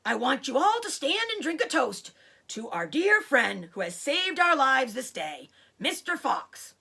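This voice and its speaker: A woman's voice: high voice, big pitch swings, a little slow, gravelly, pushed and bright, slightly rough, pitched above the performer's own voice, full character voice. A woman voicing a hearty, loyal male badger in a children's storybook, exclaiming with gusto. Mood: celebratory.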